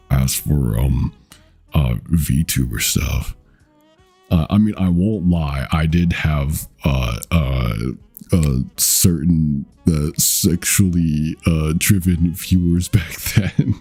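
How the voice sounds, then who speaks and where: Very deep, dark-voiced young man. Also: breathy edge. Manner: rambling, halting, with many fillers and self-corrections; swears casually and laughs often. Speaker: VTuber streamer. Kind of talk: casual chat with stream viewers.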